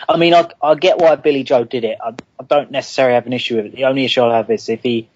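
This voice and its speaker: A man's voice: medium pitch, fairly even intonation, racing, dry recording, dull audio. London man calling into a boxing show. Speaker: caller. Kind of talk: casual boxing chat.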